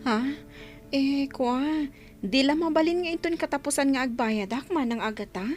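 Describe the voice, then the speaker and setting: An actress as a high-voiced, humble village neighbor. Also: female voice, normal speed, faint music behind, natural voice. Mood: troubled. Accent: Ilocano. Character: humble, grateful neighbor woman short of money. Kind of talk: Ilocano radio drama acting.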